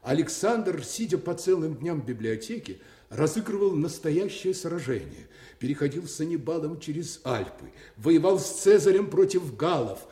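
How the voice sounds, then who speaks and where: A fond, worried Russian nobleman father in a radio drama. His mellow voice sits a bit high as he reminisces. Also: sing-song, normal speed. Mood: concerned, reminiscing. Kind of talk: radio play monologue.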